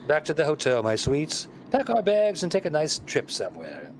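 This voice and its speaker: A man's voice: a little high, huge pitch swings, normal speed, noisy background. A man playing a witty, playful detective and teasing husband in a vintage-style radio play, with wry theatrical delivery. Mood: playfully wry.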